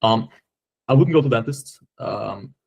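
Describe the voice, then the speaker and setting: A man's voice: mid-range voice, a little slow, gravelly, slightly rough, dull audio. A man with a Slovenian accent in a casual sales conversation, speaking informally and often doubling back on his phrases. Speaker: agency owner on a sales call.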